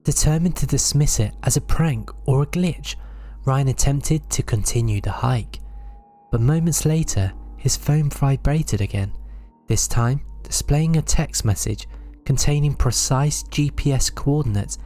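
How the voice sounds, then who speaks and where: Mellow-voiced man narrating horror stories. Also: mid-range voice, a little slow, soft background music. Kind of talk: scripted horror story narration.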